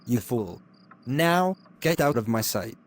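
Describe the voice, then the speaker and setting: A middle-aged man, acting a predatory lecturer who speaks low, quiet and threatening. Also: sing-song, a little fast, lightly acted. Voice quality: low, quiet and menacing delivery. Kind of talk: amateur drama acting.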